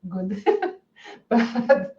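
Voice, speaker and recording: A woman's voice: mid-range voice, sing-song, drawn-out words, warm tone, muffled recording. A woman co-hosting a podcast, chatting casually in a hesitant, self-deprecating way. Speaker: podcast co-host. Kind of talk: casual chat.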